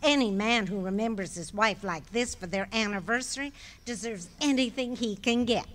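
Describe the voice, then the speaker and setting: A woman's voice: medium pitch, moderate pace, fairly bright tone, lightly acted. An actress playing a cheerful, teasing wife in a live radio play, laughing often as she needles her husband. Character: cheerful teasing wife, good-natured and knowing.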